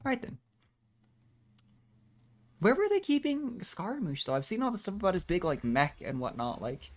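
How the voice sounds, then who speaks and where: Streamer: mid-pitched, casual, chatty, thinking aloud while playing. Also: very animated, moderate pace. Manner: chatty, musing, trailing asides. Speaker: game streamer. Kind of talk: casual live game commentary.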